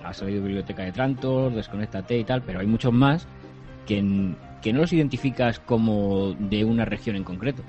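Man, from spain, mellow dull voice, measured, inquisitive, faint music. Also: fairly low pitch. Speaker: podcast guest. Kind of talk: casual round-table chat.

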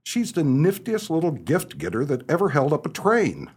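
A man playing an exasperated, wry small-town husband in a stagey comedic radio play, animated and sardonic. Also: on the high side, normal speed, husky, exaggerated voice. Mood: wry, grumbling.

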